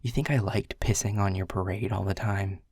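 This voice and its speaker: A man's voice: on the low side, fairly even intonation, normal speed, a little mellow, breathy edge, dry recording, clean studio sound. A man playing a world-weary male middle manager who is blunt and swears, confessing in a half-whisper. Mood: frustrated. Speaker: boss. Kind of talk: audio roleplay acting.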